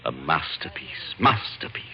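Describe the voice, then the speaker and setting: Very deep-voiced pompous rich collector. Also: male voice, very animated, a little slow, soft background music, exaggerated voice. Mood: smug. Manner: pompous, fluttery. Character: rich, fussy art collector, self-important unhappy husband. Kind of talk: old-time radio detective drama acting. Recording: dull, lightly noisy old radio recording.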